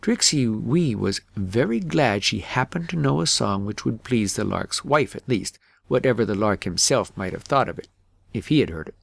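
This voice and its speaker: A man narrating a fairytale audiobook in an expressive, measured storybook manner. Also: on the low side, vocal fry, no echo.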